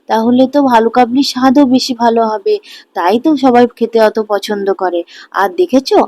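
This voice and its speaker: A woman voicing an honest, sensible, supportive wife in a cartoon story, with an expressive delivery. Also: a little high, lightly acted. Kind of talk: Bengali cartoon story voice acting.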